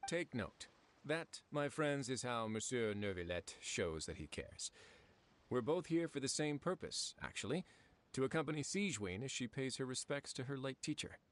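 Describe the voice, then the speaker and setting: A man voicing a confident, teasing prison administrator in an anime game, with a smooth, wry, casual manner. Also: medium pitch, expressive, moderate pace, close to an everyday voice.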